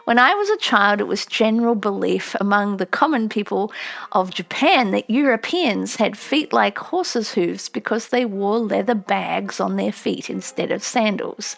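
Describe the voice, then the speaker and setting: A woman reading a literary memoir as an audiobook in a measured storytelling delivery. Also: mid-range voice, gravelly, a bit bright. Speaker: audiobook narrator.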